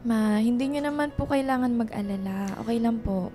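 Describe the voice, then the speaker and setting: Casting: actress as young Filipina student daughter, fairly high voice, polite yet stubborn, radio drama over music. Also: female voice, fairly quick, mellow, close to an everyday voice. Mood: reassuring, insistent. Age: young adult. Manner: polite, reassuring, insistent. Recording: music behind the voice.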